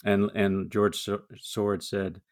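A man's voice: fairly low pitch, little pitch movement, slow pace. A man hosting a podcast, conversational and asking questions. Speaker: podcast host. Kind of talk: podcast interview.

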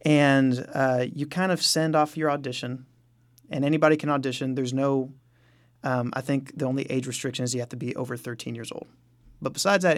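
Male musician guest, medium pitch, informal conversational storyteller in an interview. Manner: informal, anecdotal, quotes his own reactions. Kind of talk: casual conversational interview answers.

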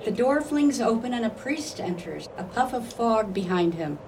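A woman's voice: on the high side, lively intonation, normal speed, distant mic, noisy background. A woman reading scripted narration for a radio play.

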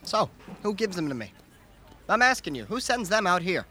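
A young man acting in a radio comedy as a sour, sarcastic American baseball manager with dry, deadpan wisecracks. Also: high voice, moderate pace, a little hiss or hum, exaggerated voice. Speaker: student actor.

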